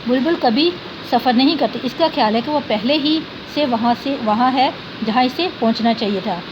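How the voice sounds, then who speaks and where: A woman's voice: fairly high pitch, normal speed. A woman teacher reading a humorous essay aloud to students, stopping to explain difficult words in simpler terms. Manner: reads a sentence, then glosses hard words with "yani". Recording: dull, lo-fi recording with clear background noise.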